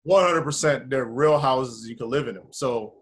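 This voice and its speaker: A middle-aged American man with a husky voice, talking informally as an interview guest on a video call. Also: mid-range voice, moderate pace. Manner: informal, conversational, peppers talk with "man" and "you know". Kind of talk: casual interview chat. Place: indoor room with bare wall, video call.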